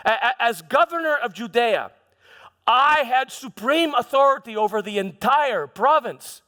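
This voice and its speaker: A man playing a proud, exasperated Roman governor in a theatrical first-person stage monologue, halting and repeating words for emphasis as he tells his story. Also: very high-pitched, animated, bright, forceful tone, exaggerated voice. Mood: wary, scornful. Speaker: church dramatist performing a monologue. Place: church hall before an audience. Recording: echoey church hall, music behind.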